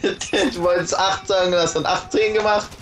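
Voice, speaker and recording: A man's voice: sits high, fairly even intonation, normal speed, fairly bright tone, faint music behind. A young man chatting casually with friends over an online game, joking and teasing and laughing often. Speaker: gamer. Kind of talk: casual gaming chat with friends.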